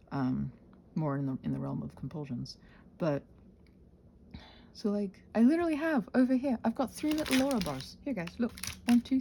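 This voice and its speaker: A woman's voice: low-pitched, vocal fry, warm tone, a little hiss or hum. A woman chatting casually in a playful, self-deprecating, tangent-prone ramble, expressively acting out her own thoughts. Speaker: ASMR and audio content creator.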